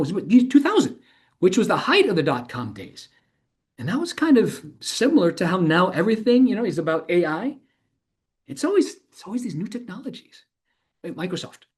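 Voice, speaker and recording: An actor playing an enthusiastic, informal university instructor in a conversational monologue, animated and rambling, often interrupting themselves. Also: mid-range voice, close to an everyday voice. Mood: nostalgic, enthusiastic.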